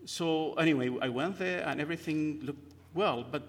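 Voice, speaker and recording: A man's voice: a little high, normal speed. A middle-aged man from northern Iraq giving a hesitant personal testimony at church, with many fillers and restarts. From Kurdistan, northern Iraq. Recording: long hall reverb.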